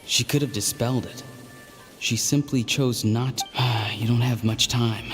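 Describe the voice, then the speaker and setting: A man's voice: mid-range voice, steady tone, a little slow, natural voice. Man as an earnest young mage, reverberant. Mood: concerned. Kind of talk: dramatic video game acting. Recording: long hall reverb, game music underneath.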